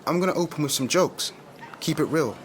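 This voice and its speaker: A man's voice: a little high, fairly quick, slight background noise, natural voice. An actor playing a confident, street-smart young man with a London accent in a radio drama, slangy and opinionated. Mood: upbeat. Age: young adult. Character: confident young British man, outspoken musician-turned-speaker, street-smart. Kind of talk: naturalistic radio drama dialogue.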